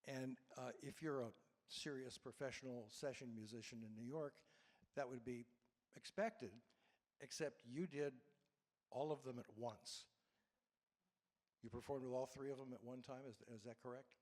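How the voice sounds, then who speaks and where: A man's voice: medium pitch, fairly even intonation, normal speed, pauses often, darkish tone. Male host, mid voice, playful questions, upbeat delivery. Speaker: interview host. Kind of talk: hosting a panel interview.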